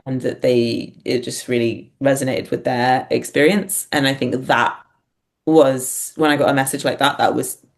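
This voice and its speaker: A woman with a gravelly, creaky edge to her voice talks as a guest in a reflective interview. She thinks aloud, hesitating and rephrasing as she searches for the honest way to put things. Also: rumbling low, fairly even intonation, moderate pace. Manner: hesitant, thinking aloud, punctuated by small laughs. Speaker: guest, writer-performer.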